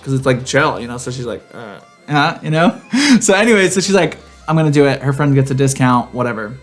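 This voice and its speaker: A man's voice: medium pitch, sing-song, fairly quick. Campy, sassy man chatting, music behind. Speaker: podcast co-host. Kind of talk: casual, chatty banter. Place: home garage set up as a studio.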